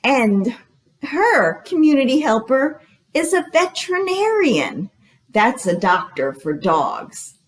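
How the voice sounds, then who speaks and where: A woman hosting a children's storytime as a librarian, speaking with animated, exaggerated intonation and putting questions to young listeners. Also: high voice, takes it slowly.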